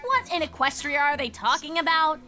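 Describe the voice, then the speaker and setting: A woman's voice: high voice, animated, normal speed, sharp tone. A woman playing a studious, earnest young female pony in a fan audio drama, speaking precisely with an orderly, admonishing manner. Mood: alarmed. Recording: music behind the voice.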